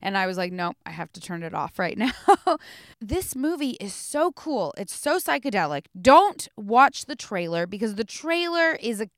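A woman's voice: medium pitch, sing-song, moderate pace, no room sound, studio quality. A woman co-hosting a podcast, chatting casually and enthusiastically about a film. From North America. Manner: enthusiastic, emphatic. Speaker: podcast co-host. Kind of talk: casual film review chat.

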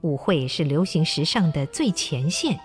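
A woman's voice: sits low, very animated, normal speed, faint music behind. A woman reading scripted narration for a literary radio drama.

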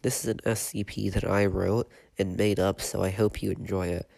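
A stuffy-nosed man hosts a podcast and reads out an eerie report in a steady, matter-of-fact tone. His voice sits low and barely rises or falls. The recording is dry, with no room sound around him. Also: normal speed, gravelly, no echo. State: stuffy from a cold. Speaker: podcast host and narrator. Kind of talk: reading a written horror report.

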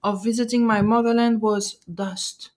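A woman's voice: on the high side, little pitch movement, moderate pace, a little mellow. French-accented woman chatting, hesitant. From French and Algerian. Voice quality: hesitant, searching for words, laughs often. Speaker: podcast guest. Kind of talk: casual chat.

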